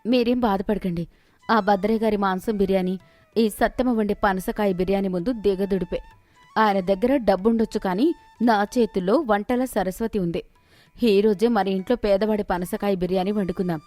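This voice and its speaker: A woman's voice: medium pitch, fairly even intonation, moderate pace, dark tone, faint music behind, lightly acted. A woman voicing a caring village wife, determined, reassuring, storybook cartoon. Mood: confident.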